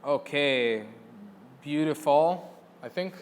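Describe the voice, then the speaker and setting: A man's voice: fairly high pitch, takes it slowly, big-room sound. A man who trains dogs, answering viewer questions in a casual teaching style and repeating words for emphasis. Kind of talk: casual instructional Q&A.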